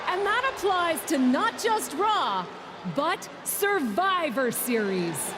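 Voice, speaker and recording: A woman's voice: very high voice, very animated, full character voice. A woman playing a bold general manager in a video game, making a formal, declamatory announcement to wrestling fans. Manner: declamatory, deliberate. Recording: echoing arena PA with loud noise.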